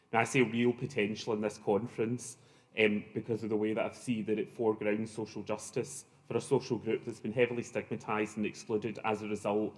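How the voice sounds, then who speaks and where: A middle-aged man with a Glasgow accent giving opening remarks at a conference, hesitant, with many ums and restarts. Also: fairly low pitch. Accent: Glaswegian. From Scotland.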